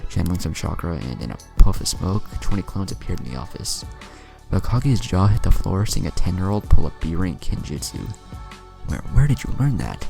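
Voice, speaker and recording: Deep, gravelly male story narrator. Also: animated, brisk. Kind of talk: fan fiction reading. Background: music.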